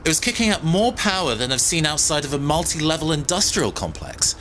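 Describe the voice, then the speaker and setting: A man's voice: fairly high pitch, very animated, a little fast, fairly bright tone, slight background noise. A young man playing an anxious, inexperienced tech genius in an audio drama, speaking hesitantly and uneasily. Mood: puzzled, analytical.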